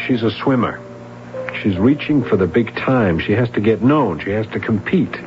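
Actor as an animated young American police sergeant. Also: male voice, lowish voice, normal speed, lightly acted. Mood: worried. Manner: probing, insistent, colloquial. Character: earnest, persistent young male police sergeant. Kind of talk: 1970s American radio drama acting. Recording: muffled radio recording, faint music.

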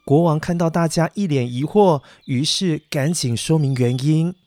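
Casting: male children's podcast storyteller, higher-pitched, coaxing delivery, clear musical backing. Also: moderate pace, a little mellow, faint music behind. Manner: addresses young listeners with coaxing questions.

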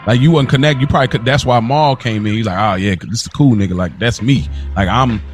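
A man's voice: mid-range voice, quick pace, soft background music. A man hosting a casual podcast conversation, slangy and meandering as he talks through his thoughts. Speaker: podcast host.

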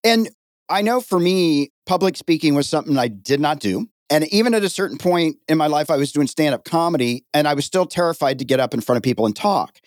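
A man hosting a podcast interview, animated and jokey with a comic's timing. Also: a little high, fairly quick, no echo, clean studio sound. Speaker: podcast host.

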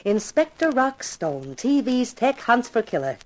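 A woman acting as a pushy, excitable TV producer in a 1950s radio drama, gushing and bossy. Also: a little high, unhurried, poor audio. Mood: gleeful. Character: pushy, excitable female TV producer hungry for publicity.

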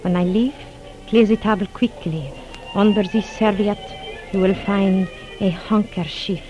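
An actress playing a clever, secretive spy in a 1940s radio drama, giving deliberate, clipped orders. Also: female voice, mid-range voice, fairly even intonation, lightly acted. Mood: hushed, commanding. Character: clever, secretive foreign female spy, commanding and guarded. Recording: dull old radio recording, faint music.

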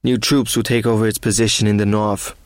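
An actor reading as a sceptical German army officer, giving a measured, matter-of-fact wartime diary account. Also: male voice, lowish voice, flat delivery, no echo, natural voice. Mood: sober, matter-of-fact. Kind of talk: read-aloud wartime diary, measured dramatic narration.

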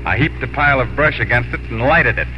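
A man's voice: medium pitch, expressive, fast, natural voice. An actor playing a steadfast, lovelorn young Texan rancher who narrates his story in a 1950s radio drama. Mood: brooding. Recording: muffled old 1950s radio, music behind.

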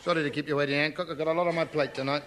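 An actor playing a shady, breezy Cockney wrestling promoter in 1950s British radio comedy, talking fast in matter-of-fact slang. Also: male voice, fairly high pitch, fairly even intonation, natural voice. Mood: bossy, brisk. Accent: London Cockney. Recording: noisy old radio recording.

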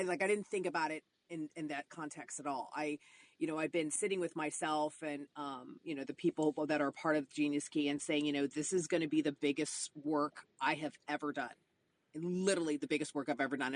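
A woman in a casual conversation, talking enthusiastically and rambling, with many restarts. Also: deep voice.

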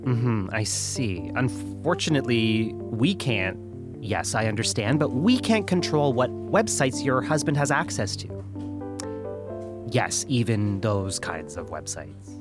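Lively, courteous young call-centre rep. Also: male voice, medium pitch, music in the background. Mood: tactful, awkward. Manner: professionally courteous, tactful, halting on awkward questions. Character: polite, patient young male call-centre customer service rep. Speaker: phone customer service representative. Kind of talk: comedic audio drama acting.

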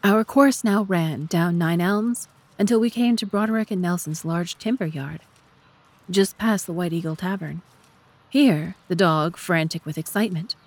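A woman's voice: on the low side, darkish tone. A woman reading scripted narration as narrator and host of a story podcast.

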